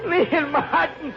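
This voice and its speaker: An actor playing a panicked killer trapped in a boxcar, stammering and repeating himself in 1950s radio thriller style. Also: male voice, very high voice, exaggerated voice. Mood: hysterical despair. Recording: noisy, muffled early 1950s radio recording.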